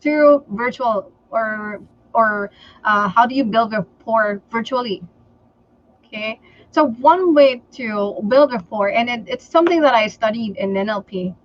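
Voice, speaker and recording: A woman from the Philippines whose first language is Tagalog, giving sales advice in a livestream interview and often pausing on "okay" and "um". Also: a little high, dry recording, muffled recording. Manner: slow and deliberate, many fillers like okay and um. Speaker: sales trainer guest.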